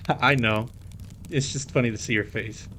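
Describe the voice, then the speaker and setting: A man playing a suave, playful mafia boss in an audio roleplay drama, with a teasing, smooth manner. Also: medium pitch, a little slow. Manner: teasing, smooth, code-switches into Spanish.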